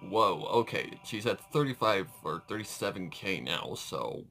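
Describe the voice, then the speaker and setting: Male reaction host, lowish pitch, heavy vocal fry, casual halting commentary, faint music behind. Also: animated, fairly bright tone.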